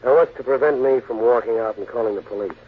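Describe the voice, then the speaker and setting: An American actor playing a shrewd, tough, skeptical Chicago lawyer-detective in 1940s radio drama style. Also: male voice, medium pitch, fast, close to an everyday voice. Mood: guarded, skeptical. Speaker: lead actor and narrator of a radio crime drama. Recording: dull, noisy 1940s radio recording.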